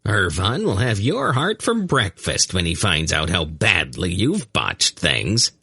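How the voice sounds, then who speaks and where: A man's voice: low-pitched, big pitch swings, slow, bright, forceful tone, husky, no echo, studio quality, full character voice. Man doing a pleasantly taunting hunchbacked man. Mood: mocking. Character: gruff hunchbacked man, pleasantly mocking his enemy.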